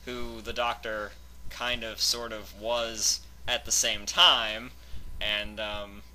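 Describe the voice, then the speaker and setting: A man's voice: on the low side, flat delivery, slow, sharp tone. A man hosting a fanfic reading channel, chatting casually and stammering a little as he introduces things.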